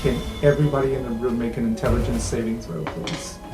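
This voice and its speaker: A man's voice: medium pitch, fairly even intonation, quick pace. Man running a roomy tabletop game. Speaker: game master. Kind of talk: improvised tabletop game narration. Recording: roomy sound with music underneath.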